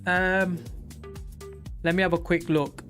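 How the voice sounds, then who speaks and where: A man of forty talking casually and candidly on a live trading stream, rambling with many pauses. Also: high voice, level tone, a bit bright, soft background music. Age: 40s. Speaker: livestream day trader.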